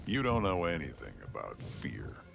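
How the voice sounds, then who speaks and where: An actor playing a gruff, wisecracking demon hero in a video game, gravelly, blunt and sardonic. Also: male voice, sits low, huge pitch swings, normal speed, heavily acted. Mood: menacing. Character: gruff wisecracking demon brawler, grown male monster hero. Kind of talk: video game character dialogue. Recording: game music behind.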